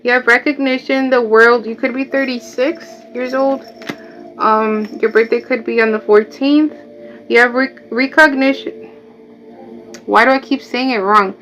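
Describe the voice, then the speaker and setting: A woman's voice: on the high side, fairly even intonation, measured pace, a bit bright, a little hiss or hum. A woman from Mexico with a Mexican Spanish accent, a native Spanish speaker, giving a chatty live tarot reading and drifting into asides. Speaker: tarot reader. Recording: dull lo-fi audio, faint music.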